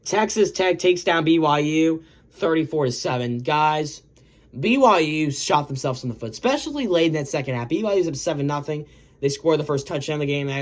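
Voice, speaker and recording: A man hosting a casual sports recap video, his voice a little hoarse from yelling, rambling and correcting himself as he talks. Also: a little high. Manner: rambling, self-correcting, lots of "guys" and "um".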